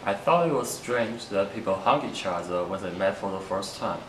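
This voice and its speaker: Low-voiced Chinese man, echoey recording. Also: expressive, normal speed. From China. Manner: recites short prepared statements. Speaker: international student. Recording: echoey room from far off, noisy.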